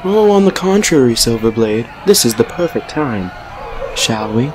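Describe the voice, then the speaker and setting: A sardonic, cocky vampire fighter, acted by a man in an audio drama. His medium-pitched voice taunts wryly, and the recording carries plain background noise. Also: very animated, normal speed, lightly acted. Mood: wry, taunting. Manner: wry, taunting. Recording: clearly noisy background.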